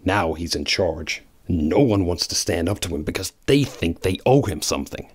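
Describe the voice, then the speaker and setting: A brooding hedgehog antihero, voiced by a man with a low, grave voice. He speaks tersely and seriously, with little warmth. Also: lively intonation, normal speed, husky. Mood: grim. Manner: grim, terse.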